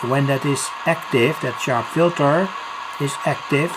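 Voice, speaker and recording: Hobbyist demonstrator, slow pace, halting unscripted explanation, frequent pauses, home recording, noticeable static noise. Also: medium pitch. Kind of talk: unscripted demo vlog explanation. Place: home, indoors near a kitchen. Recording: noisy room with radio static. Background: radio noise.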